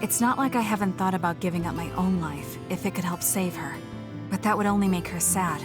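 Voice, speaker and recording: Music sits clearly behind an actress as a young female soldier in a video game. Her voice is on the low side for a woman, and she speaks bluntly and formally, cool and reserved but caring underneath. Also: fairly quick, natural voice. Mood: troubled. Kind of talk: video game English voice acting.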